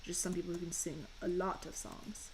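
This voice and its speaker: Young female streamer, deep voice, rambly chat over gameplay, faint hiss behind. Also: steady tone, moderate pace, low-quality recording. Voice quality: fairly deep voice. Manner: chatty, rambling, answers chat questions. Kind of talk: casual chat while gaming.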